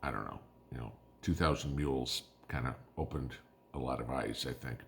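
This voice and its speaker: A hoarse man in his fifties, a prepping channel host, talking bluntly in a casual opinion monologue and often tagging "okay?". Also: a bass voice, moderate pace, a little hiss or hum. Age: 50s. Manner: blunt, combative, repeats "okay?".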